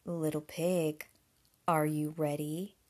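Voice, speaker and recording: A big bad wolf from a nursery tale , as a woman acts him out. He is low and slow, stretching his words in a looming, sly, coaxing way. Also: little pitch movement, darkish tone. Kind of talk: children's storybook character voice.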